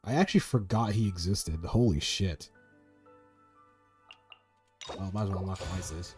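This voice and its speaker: A man's voice: low voice, fast, darkish tone, soft background music. A man streaming a game with casual, slangy commentary and plenty of swearing. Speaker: gaming streamer.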